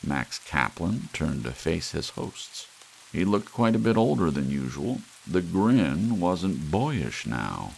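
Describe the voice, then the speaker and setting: A man's voice: low-pitched, slow pace, vocal fry, poor audio. A man reading a short story aloud as an ASMR audiobook narration, as an audiobook reader. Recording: steady hiss, rain sound underneath. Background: soft rain.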